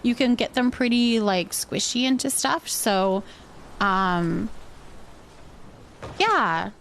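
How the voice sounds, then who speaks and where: A woman's voice: fairly high pitch, expressive, slow pace, a bit bright, slight background noise. A woman hosting a livestream, chatting casually and thinking aloud as she plays a building game. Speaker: livestream gaming host. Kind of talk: casual chat while playing a building game.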